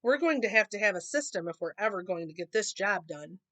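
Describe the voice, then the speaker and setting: A woman's voice: medium pitch, animated, brisk, fairly bright tone, no echo, lightly acted. A woman voicing an eager, earnest young boy bear cub in a children's storybook. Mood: earnest, persuading.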